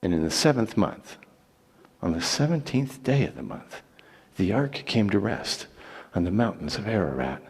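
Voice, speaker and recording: A man giving a dramatic Bible reading as storytelling narration, with theatrical, declamatory and deliberate phrasing. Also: on the low side, big pitch swings, creaky, breathy edge. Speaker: scripture reader. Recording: long echoing hall reverb.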